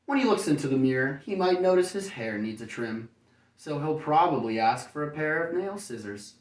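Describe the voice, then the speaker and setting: A man's voice: a little high, expressive, moderate pace. A man reading a children's picture book aloud like a kindergarten teacher, breaking off for playful, folksy, chatty asides. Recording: roomy echo, distant microphone.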